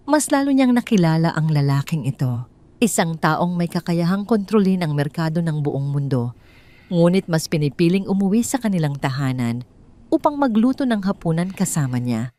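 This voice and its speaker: A woman's voice: lowish voice, a little mellow. A woman with a Filipino accent narrating a scripted dramatic story.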